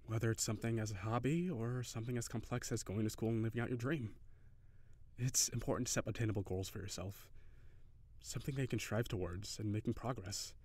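A man's voice: on the low side, steady tone, fairly quick, dry recording, lightly acted. A man playing a shy, cheery kitsune barista, close-up. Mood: earnest, encouraging. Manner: hesitant, giggly, encouraging, softly flirtatious. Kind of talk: intimate audio roleplay addressed to the listener.

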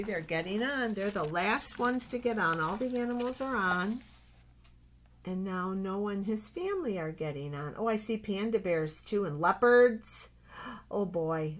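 Slow-paced older woman reading to children. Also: medium pitch. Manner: exclaims and points things out, with drawn-out words. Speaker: storytime reader for children. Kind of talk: reading a picture book aloud to young children. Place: small office, indoors. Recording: noisy webcam recording with clicks.

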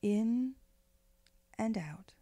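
A woman leading a guided meditation in a gentle, unhurried, soothing way, with phrases often trailing off into breath. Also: medium pitch, expressive, dry recording. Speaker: meditation guide, spiritual director.

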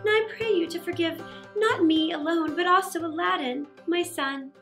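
A woman's voice: very high voice, moderate pace, a bit bright, faint music behind. A woman voicing an anxious, pleading mother in a storybook, with dramatic delivery.

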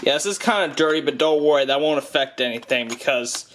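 A man's voice: on the high side, quick pace, a little hiss or hum. Young man giving an offhand gadget demo. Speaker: gadget demonstrator. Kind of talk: casual hands-on demo narration. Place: small room at home.

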